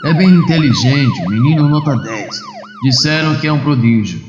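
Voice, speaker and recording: A man's voice: a little high, huge pitch swings, moderate pace, reverberant, big-room sound, lo-fi sound, background noise. A man playing a resigned, matter-of-fact male schoolteacher in a comic-book dub.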